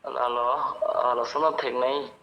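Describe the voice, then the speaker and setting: A man's voice: mid-range voice, little pitch movement, slow pace. A man chatting casually on the receiving end of a phone call. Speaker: prank call recipient. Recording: echoey noisy phone call.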